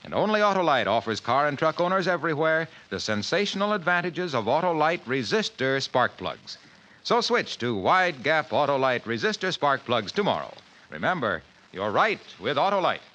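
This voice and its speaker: Bright, lively old-time radio pitchman. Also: normal speed, poor audio. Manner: folksy, upbeat salesman. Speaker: sponsor announcer. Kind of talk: scripted radio commercial.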